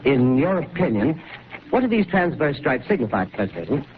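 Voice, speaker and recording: Man playing an eager radio newsman, quick delivery, earnest and halting, tinny old broadcast, heavy hiss. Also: medium pitch, big pitch swings, natural voice. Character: male radio reporter at an observatory, earnest. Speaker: field commentator. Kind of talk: 1930s radio drama acting.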